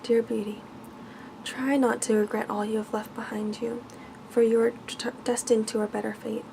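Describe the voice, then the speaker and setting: Stately fairy-tale lady, reassuring, voiced by a female reader, gentle ASMR, lightly high with fry. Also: little pitch movement, moderate pace, slight background noise. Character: stately beautiful lady, kindly, reassuring adviser.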